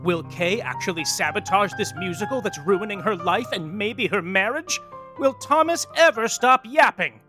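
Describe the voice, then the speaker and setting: A man's voice: sits high, lively intonation, moderate pace, over music. A man narrating a comic audio soap and its credits in a theatrical, mock-grandiose announcer style.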